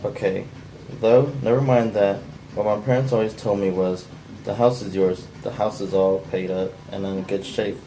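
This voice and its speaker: A man's voice: on the low side, normal speed, a little hiss or hum, close to an everyday voice, rough recording. A man reading the part of a menacing, bitter drifter in an unpolished script table read. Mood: bitter, resentful. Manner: flat, unpolished amateur line reading. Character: menacing, bitter, down-on-his-luck male drifter intruder.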